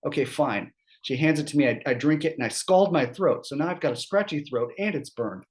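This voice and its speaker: A man presents employment law at a seminar, his throat scratchy from a cold. He keeps it conversational, with quick asides and frequent "right?" check-ins to the room. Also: fairly high pitch, lively intonation.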